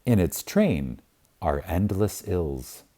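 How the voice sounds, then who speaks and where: Slow deep-voiced man reading reverently. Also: big pitch swings, warm tone. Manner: reverent, emphatic, with long deliberate pauses. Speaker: audiobook reader. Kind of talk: reading a spiritual self-help book aloud.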